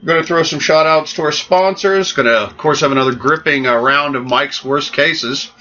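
A man co-hosting a podcast in casual chat, joking and halting over his words with fillers. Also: fairly high pitch, pushed and bright. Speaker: podcast co-host. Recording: dull lo-fi podcast audio.

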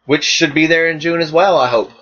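A man's voice: fairly high pitch, a little fast, fairly bright tone. Rambling Southern American man. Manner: rambling, many fillers. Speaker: podcast co-host. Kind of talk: casual podcast chat. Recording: dull lo-fi podcast audio.

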